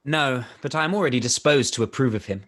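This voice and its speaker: A man playing an ingratiating young army officer in a period radio play, polite, measured and confiding. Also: mid-range voice, fairly bright tone, natural voice.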